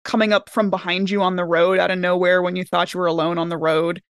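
A woman's voice: lowish voice, little pitch movement, quick pace, clean tone, no echo, studio quality. A woman chatting casually as a podcast cast member, often laughing as she talks. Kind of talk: casual chat.

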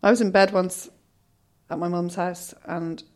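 Outspoken female guest with a lower-pitched voice, storytelling with plenty of laughter. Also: measured pace. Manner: frank, laughs a lot, lively storytelling. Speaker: podcast guest. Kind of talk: casual chat.

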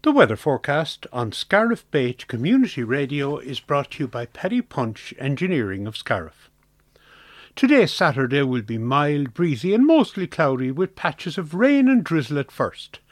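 A man reads a community radio weather forecast in a plain, steady voice that sits a bit high for a man. He is recorded close and dry, with no room sound around him. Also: moderate pace, dry recording. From Ireland.

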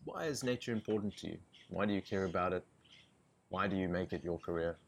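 A man co-hosting a podcast, asking calm, measured interview questions. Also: sits low, creaky, slight background noise. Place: riverbank outdoors.

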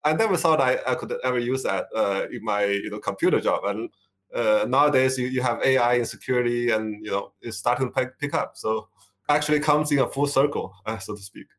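A man's voice: medium pitch, a little fast, a bit bright. A man in his fifties from China with a Chinese accent, a tech executive answering interview questions with many fillers and restarts. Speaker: guest, tech company executive. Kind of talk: conversational interview.

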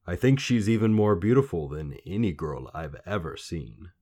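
A man's voice: low-pitched, sing-song, normal speed, warm tone. A man voicing a grand young king in a storybook, courtly, self-assured and commanding. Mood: admiring.

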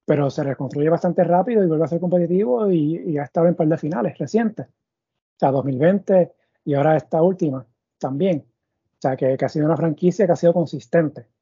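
A man hosts a sports interview, circling his questions with fillers and restarts. His voice is very dark and husky. The recording is dry and a little muffled. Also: on the high side, fairly quick, no room sound. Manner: thinks aloud with many fillers and restarts. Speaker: podcast host. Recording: dull, muffled audio.

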